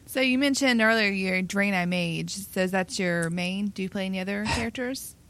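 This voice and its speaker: A woman's voice: medium pitch, normal speed, a bit bright, dry recording. A woman hosting a podcast, presenting and asking casual questions. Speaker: podcast host.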